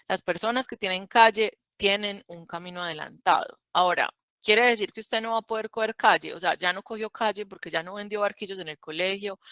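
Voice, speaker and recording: A woman's voice: lowish voice, bright, forceful tone, clear, resonant tone, no echo. Chatty woman teaching math. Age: 30s. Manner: conversational, checks with "¿cierto?". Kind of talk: online class lecture.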